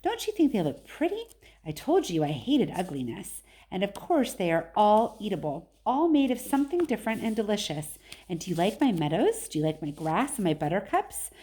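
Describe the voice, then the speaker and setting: A woman's voice: mid-range voice, sing-song, normal speed, dark tone, slightly rough, rough recording. A woman reading a storybook voices an eccentric, boastful male factory owner, exclaiming emphatically and repeating phrases. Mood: delighted, eager.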